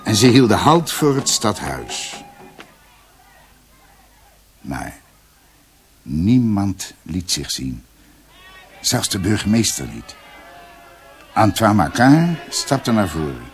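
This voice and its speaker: A man playing a reflective doctor recounting family history in a radio drama, with hesitations and dramatic pauses. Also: lowish voice, big pitch swings, a little hiss or hum. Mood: reflective, vivid.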